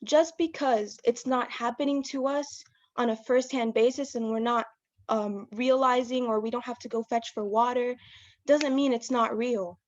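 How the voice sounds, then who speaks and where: A woman's voice: fairly high pitch, steady tone, normal speed. A young woman gives a persuasive speech, earnest and urging. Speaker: student speaker. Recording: lo-fi online call audio.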